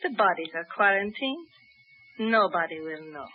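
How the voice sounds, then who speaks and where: A woman's voice: lowish voice, animated, moderate pace, loud music behind the voice, natural voice. An actress playing a scheming female accomplice in a 1950s radio drama, cool and reluctant. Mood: cold. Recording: dull old radio broadcast with hiss.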